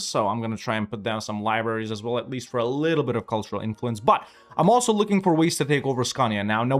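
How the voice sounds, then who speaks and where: Laid-back young man narrating gameplay. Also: on the high side. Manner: relaxed, chatty, thinks aloud with many fillers; lively and upbeat when asking viewers to like and subscribe. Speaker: gaming YouTuber. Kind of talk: casual gameplay commentary.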